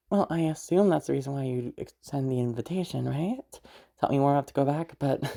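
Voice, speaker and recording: A woman's voice: on the low side, creaky, mellow. A woman playing a chatty, friendly, easily flustered escort in a roleplay audio drama, rambling informally and swearing casually. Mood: friendly, slightly awkward. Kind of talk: roleplay audio drama, casual improvised-sounding monologue to the listener.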